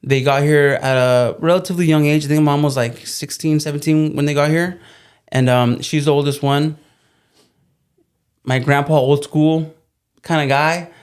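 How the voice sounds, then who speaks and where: A man's voice: fairly high pitch, steady tone, moderate pace, clean tone. A man from California, a native Spanish speaker, telling stories in a casual interview, easygoing and joking. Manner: easygoing storyteller, joking and laughing. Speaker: plumbing business co-founder, guest.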